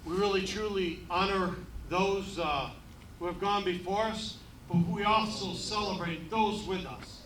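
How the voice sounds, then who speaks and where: A man emceeing a ceremony, joking at his own expense and pausing often on fillers. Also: high-pitched, a bit bright. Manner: joking, self-deprecating, halting with many fillers. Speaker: event emcee. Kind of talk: ceremony speech with jokes. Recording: echoey room, voice from far off.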